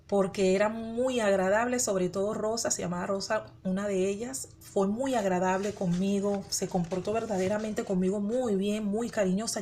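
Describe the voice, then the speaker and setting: A woman's voice: medium pitch, a little mellow, slight background noise. A woman pastor from the Dominican Republic, raised in Venezuela, telling her personal testimony and acting out her own inner dialogue. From born in the Dominican Republic, raised in Venezuela. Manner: rhetorical questions to the listener.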